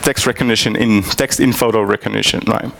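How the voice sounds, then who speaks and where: Gravelly man giving a tech talk. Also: on the low side, steady tone, fairly quick, a bit bright. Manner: hesitant, many fillers and restarts. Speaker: tech conference speaker. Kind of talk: live technical presentation. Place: conference hall.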